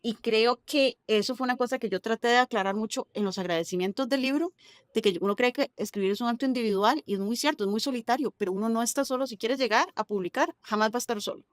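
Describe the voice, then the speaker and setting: A woman's voice: on the high side, fast, no room sound, studio quality. A woman with a Costa Rican accent, a novelist chatting as a guest in an interview and dropping local slang. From Costa Rica. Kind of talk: casual interview conversation.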